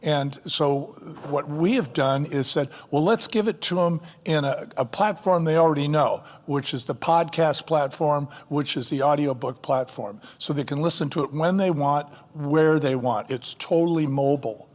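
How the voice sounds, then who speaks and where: A man's voice: a little high, moderate pace, hardly any pauses, long reverb tail. An older man, a company co-founder, speaking emphatically in an interview like a seasoned salesman. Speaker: co-founder guest. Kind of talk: interview, persuasive pitch.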